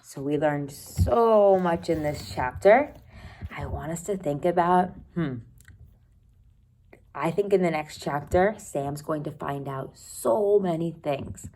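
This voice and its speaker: Slow, low-voiced woman reading a story aloud. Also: slight background noise. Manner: expressive reading, pausing to comment and think aloud. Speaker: teacher reading a children's novel aloud. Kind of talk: read-aloud storytelling with asides to students.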